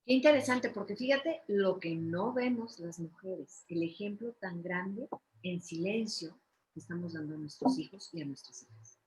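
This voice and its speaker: A woman's voice: fairly low pitch, normal speed, a little mellow, rough recording. A woman with a Mexican accent hosting a conversational interview in an encouraging, emphatic, motivational way. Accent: Mexican Spanish. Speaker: interview host.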